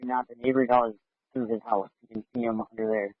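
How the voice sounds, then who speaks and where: A man's voice: medium pitch, flat delivery, fairly quick. A man working as a police officer, reporting tersely from the field over radio. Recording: thin, phone-like police radio transmission.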